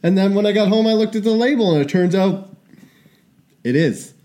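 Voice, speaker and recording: A young man co-hosting a podcast in casual chat, animated and quick to laugh. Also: sits high. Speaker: podcast co-host.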